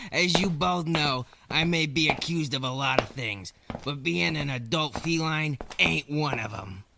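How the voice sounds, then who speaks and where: A man's voice: fairly high pitch, slow, gravelly, noisy background. A man voicing a cocky animal gangster villain who taunts in old-time tough-guy slang, in a detective radio play. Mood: smug taunting. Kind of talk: 1940s hard-boiled radio detective drama acting.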